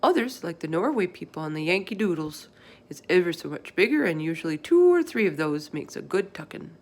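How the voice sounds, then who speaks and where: Storybook friendly giant, played by a woman: earnest, excitable, emphatic, tumbling through garbled folksy speech. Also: lowish voice, fairly quick. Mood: emphatic explaining. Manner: emphatic, garbled folksy grammar with made-up words.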